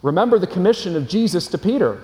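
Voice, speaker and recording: A man's voice: high-pitched, lively intonation, fast, a little mellow. A man preaching a sermon as pastor, earnest and explanatory, asking his congregation rhetorical questions. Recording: long hall reverb.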